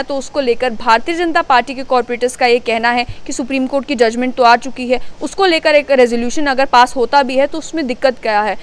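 A woman's voice: high voice, a bit bright, clear, resonant tone. A woman, reporting formally and fluently from the field into a handheld microphone. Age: 20s. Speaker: news reporter and interviewer. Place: outdoors beside a building entrance.

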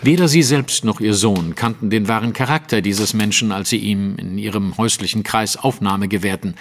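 An actor playing a composed, commanding Victorian detective in a German audio drama, speaking precisely and with theatrical authority. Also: male voice, on the low side, normal speed, big-room sound, a little hiss or hum, close to an everyday voice. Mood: grave. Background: music.

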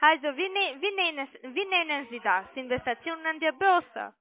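A woman with a foreign accent, not a native speaker, making a halting, insistent sales call over the phone. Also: high-pitched, lively intonation. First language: not German. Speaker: call centre caller selling investments. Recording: tinny phone line.

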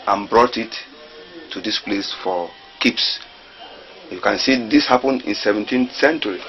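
A man's voice: on the low side, sharp tone, lo-fi sound. Middle-aged man explaining history. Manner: deliberate, explanatory. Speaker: local historian guide. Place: outdoors beside a village house.

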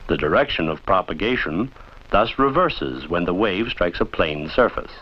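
A man reading scripted instructional narration for a training film in a formal, measured, didactic way, on an old film soundtrack. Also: low voice, lively intonation, husky, dull audio.

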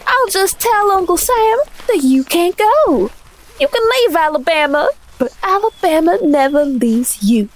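A woman's voice: very high voice, very animated, a little slow, exaggerated voice. A woman in an audio drama playing a breezy, teasing young family's mother. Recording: faint ambient noise.

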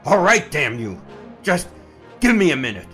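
An actor playing a desperate older scientist in an audio drama, pleading and agitated. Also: male voice, fairly high pitch, big pitch swings, normal speed, bright, forceful tone, faint music behind. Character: desperate older male scientist, defiant but cornered.

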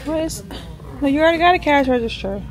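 A woman's voice: sits high, expressive, fast talker, rough recording. Chatty young woman vlogging. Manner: chatty, rambling, addresses viewers as y'all. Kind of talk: casual chat to camera. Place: home bedroom and a store. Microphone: handheld camera at arm's length.

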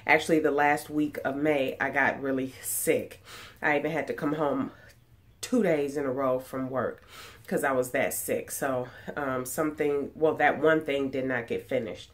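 A low-pitched woman speaks in a nasal, stuffed-up voice because of a cold. She rambles in a chatty way, full of ums and false starts, as she talks to a webcam in a small room at home. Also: steady tone, normal speed. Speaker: sewing vlogger. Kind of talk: casual chat. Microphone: webcam at desk distance.

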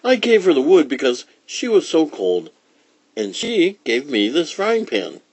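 A man's voice: a little high, sing-song, unhurried, a little mellow, husky, no echo, voice pushed up, heavily acted. A man voicing a kind, eager young girl, a caring big sister, in a children's storybook. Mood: explaining.

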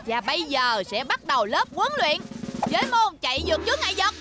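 A woman's voice: high voice, big pitch swings, a little fast. A woman voicing a bossy, spirited little girl who plays superhero leader in a dubbed children's cartoon, speaking emphatically and theatrically. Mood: bossy, commanding. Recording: loud music behind the voice.

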